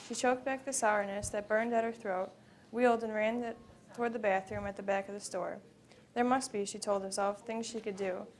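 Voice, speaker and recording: A woman reading prose narrative aloud at a live event, in a measured, dramatic way with phrases that trail off. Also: medium pitch, steady tone. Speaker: student performer in readers theater. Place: live college library event. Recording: live event recording with background noise.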